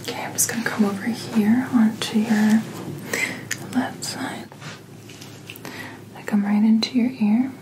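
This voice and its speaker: A woman speaking in a soft, breathy whisper, gently and reassuringly playing a doctor in an ASMR roleplay, close to the microphone. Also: on the high side, fairly even intonation, measured pace, pauses often, noisy background. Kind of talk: soft-spoken ASMR medical checkup roleplay.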